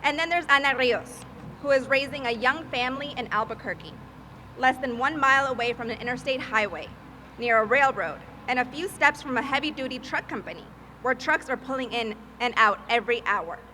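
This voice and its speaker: Woman from Peru at a podium, bright, slightly high voice, faint hiss behind. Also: a little fast. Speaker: advocacy field manager and mother. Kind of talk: prepared speech at a press event.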